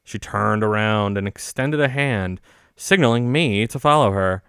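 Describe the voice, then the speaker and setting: A man's voice: lowish voice, unhurried, a bit bright, clear, resonant tone, no room sound, studio quality. A man streaming a game, reading its story aloud in a casual but theatrical storytelling manner. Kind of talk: casual read-aloud narration of a visual novel.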